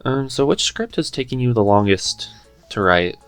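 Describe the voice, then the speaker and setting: A man's voice: medium pitch, expressive, moderate pace, clean tone, soft background music. A man hosting a casual interview, easygoing and encouraging as he talks. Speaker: podcast host, interviewer.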